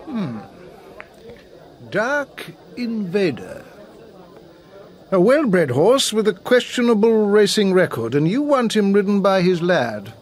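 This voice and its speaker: A titled racing official in a radio play, haughty and doubtful. He speaks very slowly in a high, swooping voice, weighing each phrase with disdain. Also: sing-song, exaggerated voice. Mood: sceptical, pondering. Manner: drawn-out, pondering, disdainful. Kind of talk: radio drama acting.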